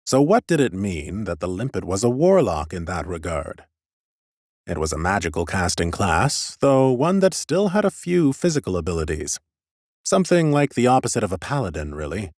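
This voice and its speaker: A man's voice: deep voice, big pitch swings, normal speed, a little mellow, dry recording, clean studio sound. A man playing an ancient, scholarly lich who muses in a precise, pompous and clinically curious way, as in a first-person fantasy audiobook. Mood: curious, calculating.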